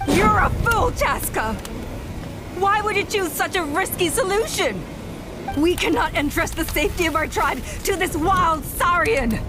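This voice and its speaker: A stern, proud woman warrior of a clan for a video game. Her voice is high and haughty, with formal phrasing over dramatic music. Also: measured pace. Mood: angry, scornful.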